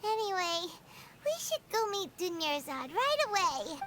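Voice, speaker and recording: Super high squeaky little fairy game companion, childlike, bouncy pitch, music behind. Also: female voice, measured pace, heavily acted. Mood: cheerful. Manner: refers to self in third person, excitable. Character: tiny, childlike, chatty floating fairy companion, female. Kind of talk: video game voice acting.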